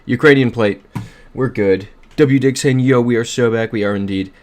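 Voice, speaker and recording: A man's voice: mid-range voice, normal speed, a little mellow. A young man streaming casually from a home room at a desk mic, upbeat, thinking aloud and reading out chat messages. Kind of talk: casual livestream commentary.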